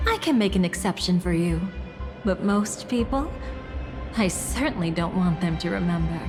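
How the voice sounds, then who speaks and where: A woman's voice: on the low side, lively intonation, normal speed, natural voice. A woman voicing a gleeful scheming trickster girl. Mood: wry. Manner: playful, mocking, dramatic swings in tone. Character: scheming theatrical young woman, gleeful and greedy. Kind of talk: video game voice acting. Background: music.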